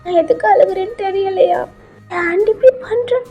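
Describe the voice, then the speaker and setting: Woman acting a high, pleading old grandmother. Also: slow, soft background music. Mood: tearful, pleading. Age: older. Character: tearful elderly grandmother, loving and pleading. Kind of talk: acted audio story.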